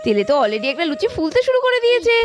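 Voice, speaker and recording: Female storyteller, very high voice, lively intonation, scripted children's narration, music behind. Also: very fast.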